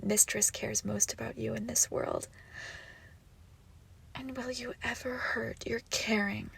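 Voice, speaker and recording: Girl playing an unhinged yandere crime boss ex, creaky voice, real slow n possessive, intimate roleplay audio. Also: on the high side, fairly even intonation, fairly bright tone, slight background noise, heavily acted. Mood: coaxing, controlling. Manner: slow, deliberate, possessive, swinging between whispers and outbursts. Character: obsessive possessive crime-boss ex-girlfriend, icy, menacing, unhinged.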